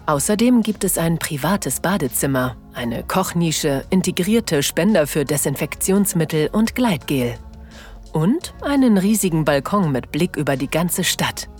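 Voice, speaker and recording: A woman playing an excited, sensual, playful married woman in an intimate audio drama, breathy and dropping into whispers. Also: sits low, unhurried, soft background music. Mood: dreamy anticipation. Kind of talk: intimate first-person German audio drama narration.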